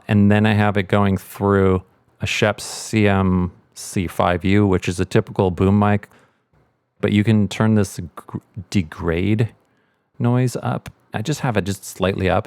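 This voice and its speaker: Man, lowish voice, dry recording, casual tutorial delivery, informal thinking aloud. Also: steady tone, moderate pace, darkish tone, no room sound. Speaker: sound mixer giving a tutorial. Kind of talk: casual software demonstration.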